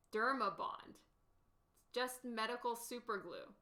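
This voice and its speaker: A woman's voice: on the high side, slow, sharp tone. A woman artist casually chatting with stream viewers while she paints, giggling often and answering their questions.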